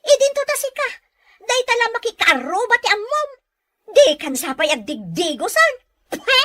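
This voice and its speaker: A woman with an Ilocano accent acting a busy, proud, sharp-tongued village housewife in an audio drama, brisk and blunt. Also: extremely high pitch, sing-song, a little hiss or hum, full character voice. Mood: irritated, scolding. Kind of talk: Filipino audio drama dialogue.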